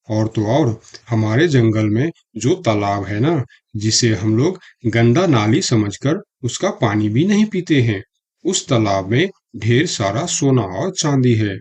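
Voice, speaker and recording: This is a simple-hearted donkey from a kids' cartoon, played by a man. The voice is husky and middling in pitch. He talks with wide-eyed earnestness and believes whatever he is told. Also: darkish tone. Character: gullible, innocent male donkey, eager and easily fooled. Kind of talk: Hindi children's cartoon story dialogue.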